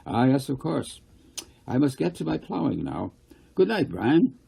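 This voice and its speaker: Man voicing a gravelly, folksy country farmer. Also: medium pitch, sing-song, unhurried, mellow, voice pushed up, exaggerated voice. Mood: kindly. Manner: folksy dialect, musing. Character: plain-spoken rural farmer, older man, folksy and curious.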